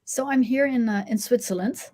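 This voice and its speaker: Dutch-born woman guest, mixed international accent, chatty and halting with fillers. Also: a little high, warm tone. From Netherlands. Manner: many fillers and restarts, cheerful. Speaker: agency CEO guest. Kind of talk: casual interview chat.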